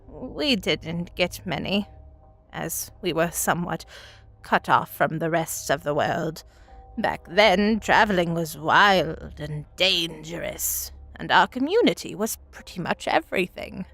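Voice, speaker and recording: A woman voicing a very elderly village woman, telling a grim tale from her childhood in a folksy, deliberate, dramatic manner. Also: on the low side, lively intonation, vocal fry, a bit bright, faint music behind, exaggerated voice. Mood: reminiscing, ominous. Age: old woman as played. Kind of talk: dramatic first-person storytelling.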